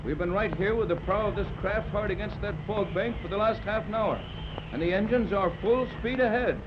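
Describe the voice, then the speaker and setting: An actor playing Tex, a steady, commanding ship's officer, in a 1930s radio drama. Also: male voice, high-pitched, a little fast, lightly acted. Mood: uneasy. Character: steady, grave male seaman, middle-aged. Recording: muffled old radio transcription with hiss.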